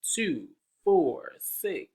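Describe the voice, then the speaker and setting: A man's voice: on the high side, very animated, a little mellow, slightly rough. A man reading a picture book aloud to young children, coaxing them with questions and counting along. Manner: slow, sing-song, coaxing questions to young listeners.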